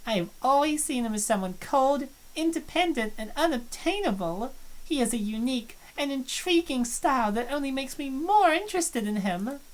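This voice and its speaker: A woman's voice: sits high, animated, moderate pace, low-quality recording. A woman voicing a shy young college student who is obsessed with a boy, reading in an earnest, confessional way. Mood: confiding. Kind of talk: visual novel voice acting.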